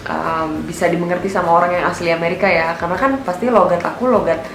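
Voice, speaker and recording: A woman's voice: on the low side, steady tone, fast talker, slight background noise. A young woman telling a personal story in a casual, candid voice-over. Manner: chatty and candid, with lots of filler words. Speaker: vlogger narrating her own experience. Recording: echoey room with music behind. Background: background music.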